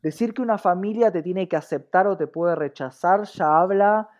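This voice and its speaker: A man's voice: high voice, moderate pace. A man with an Argentine accent, an interview guest explaining things in an articulate, emphatic way with frequent rhetorical checks. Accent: Argentine Spanish. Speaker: interview guest, diversity advocate. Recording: dull, muffled lo-fi audio.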